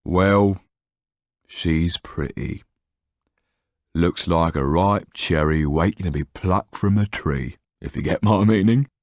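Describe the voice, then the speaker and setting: A man voicing a big, sweaty, greedy young snoop with a gruff, knowing manner. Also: low voice, takes it slowly, dry recording, clean studio sound. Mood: gossipy.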